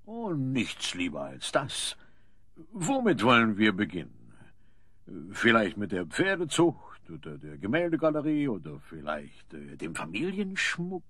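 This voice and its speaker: A man playing a rich, jovial older father-in-law with a husky voice and a pompous, doting manner in a radio play. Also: on the low side, wildly swooping pitch, a little slow, fairly bright tone, dry recording, full character voice. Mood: eager.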